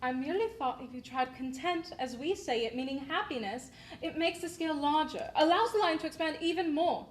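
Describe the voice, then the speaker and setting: Lady playing a polite actress, high light voice, super tactful, faint hum behind. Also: fairly quick, natural voice. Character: poised, polite young English actress. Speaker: actress in a dramatized rehearsal. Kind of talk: period drama acting.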